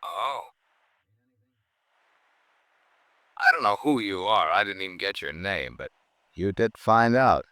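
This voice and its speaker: A man's voice: low voice, lively intonation, normal speed, bright, forceful tone, no echo. An American actor playing a troubled, resolute husband who is walking away from his life, in an intense theatrical 1950s radio drama style. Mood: guarded.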